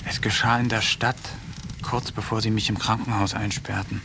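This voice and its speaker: A man narrating diary entries in a radio play, calm, measured and wry, sometimes dropping to a hushed whisper. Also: lowish voice, creaky, noisy background. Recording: studio radio play with faint music.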